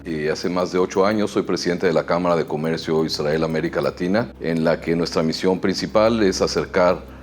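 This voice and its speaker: A middle-aged man from Mexico with a Mexican Spanish accent, answering interview questions in a measured way with frequent hesitations. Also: low voice, a little hiss or hum. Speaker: chamber of commerce president.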